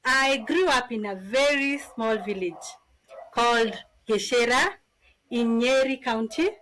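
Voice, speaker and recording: A woman in her thirties from Kenya with a Kenyan accent, a podcast guest telling her story in an animated, casual way. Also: fairly high pitch, unhurried, rough recording. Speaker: podcast guest, business founder.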